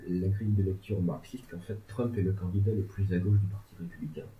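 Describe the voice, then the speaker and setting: A man talks through politics in a loose, meandering way, stopping often to hesitate and toss in jokes. His voice sits low. The sound is thin and phone-like, with a roomy echo. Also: steady tone, a little fast, slight background noise. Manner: rambling, many hesitations, joking asides. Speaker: legal and political commentator. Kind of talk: casual discussion. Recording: tinny phone-like sound in an echoey room.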